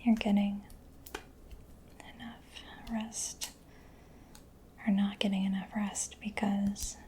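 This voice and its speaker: A soft-spoken woman in a breathy whisper, gently and reassuringly playing a caring mechanic in an ASMR roleplay. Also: medium pitch, level tone, slow pace, slight background noise. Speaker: ASMR performer.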